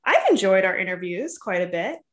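A woman's voice: medium pitch, sing-song, a little fast, fairly bright tone. A woman from Boston co-hosting a podcast as a dietitian. She chats in an upbeat, eager way and pokes fun at herself. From Boston, USA. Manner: upbeat, eager, self-deprecating. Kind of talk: casual podcast chat.

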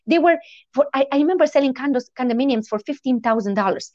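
A woman in her forties with a foreign accent, not a native English speaker, telling her story as an interview guest in a lively, animated way. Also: sits high, fast talker, no room sound, muffled recording. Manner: animated, lively storytelling with quoted inner thoughts.

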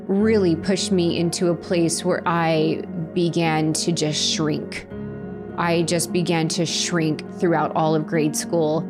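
A woman with a fairly low voice speaks earnestly about her own life, pausing and restarting as she reflects. Soft music plays faintly beneath her. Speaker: interviewee sharing her faith story. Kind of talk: personal testimony.

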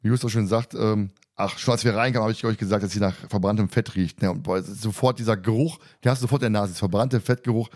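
A man's voice: on the low side, fairly even intonation, fast talker, few pauses, a little mellow. A man hosting a casual podcast discussion, recapping and commenting in a colloquial, teasing, joking way. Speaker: podcast host.

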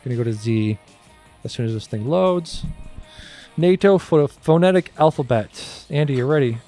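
A man's voice: on the high side, animated, moderate pace, warm tone, soft background music. A man hosting a podcast quiz in a casual, bantering style. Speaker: podcast host running a quiz.

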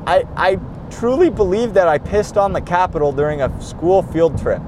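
A young man talks through a solo podcast from a moving car, high in pitch and worn out, his voice fraying. He rambles, restarts his sentences and swears casually. Road noise hums clearly underneath. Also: lively intonation, moderate pace. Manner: tired, profane, rambling with many restarts. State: tired and losing his voice. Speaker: podcast host.

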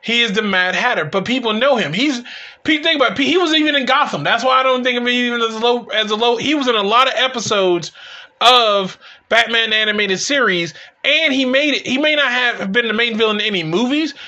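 A man in his forties with a notably high, sharp voice talks casually and emphatically, like an opinionated co-host. He rambles and swears freely. The recording is a little dull. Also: brisk. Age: 40s. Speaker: co-host of a comic book talk show.

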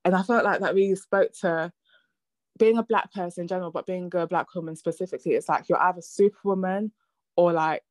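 Brief: thirties woman, podcast host, low register, dry close sound, reflective and conversational. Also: brisk, no echo. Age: 30s. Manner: reflective, thinks aloud with fillers and restarts. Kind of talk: casual conversation.

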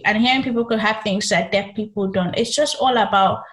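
A young woman guest with a high-pitched voice, talking earnestly and reflectively in a casual interview. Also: fairly quick. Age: young adult. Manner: earnest, reflective, with many fillers.

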